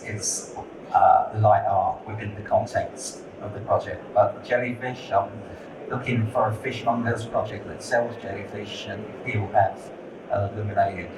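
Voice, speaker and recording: A man talking as a lighting designer on a conference panel. He speaks candidly and conversationally, repeating words as he gathers his thoughts. The sound is distant and roomy, with a steady noise underneath. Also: on the low side. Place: conference hall. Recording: echoey hall, distant mic, noisy.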